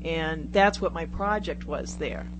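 A woman's voice: sits low, measured pace. A woman chatting casually on a radio talk show, rambling and self-deprecating as she goes. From Brockton, Massachusetts, United States. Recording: very noisy radio recording with hum.

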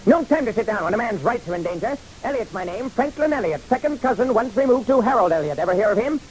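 High, rapid-fire nervous little man. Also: lively intonation, rough recording. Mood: impatient, insistent. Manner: clipped, impatient, demanding. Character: small, nervous, pushy man greedy for an inheritance. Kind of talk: old-time radio detective drama acting. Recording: hissy old radio recording.

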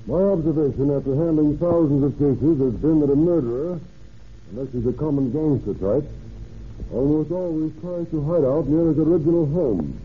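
An actor playing a seasoned, authoritative chief of detectives in an old radio drama, measured and self-assured as he dictates. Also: a little high, close to an everyday voice. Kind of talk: 1940s radio acting, dictating a letter. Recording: tinny, noisy old radio recording.

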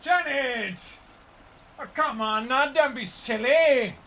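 A man's voice: very high-pitched, takes it slowly, noisy background, heavily acted. An actor playing a guilt-ridden middle-aged husband and father with a British accent, genteel and placating, in a supernatural screen drama. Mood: alarmed.

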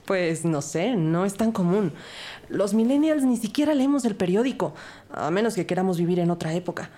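A woman's voice: mid-range voice, expressive, normal speed, warm tone, close to an everyday voice. A woman playing a skeptical young police detective who narrates her investigation in an audio drama. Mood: suspicious, puzzled. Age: young adult. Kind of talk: audio drama dialogue and first-person narration.

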